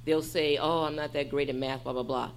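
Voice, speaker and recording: A woman professor on a discussion panel, reassuring students with lively, animated asides. Her voice is low, and a faint hum sits under the recording. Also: fairly quick, creaky. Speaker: faculty member, panelist.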